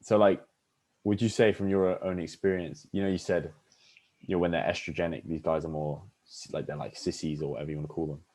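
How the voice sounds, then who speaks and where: A man's voice: low-pitched, little pitch movement, fairly quick, darkish tone. A man hosting a casual chat, asking eager, probing questions.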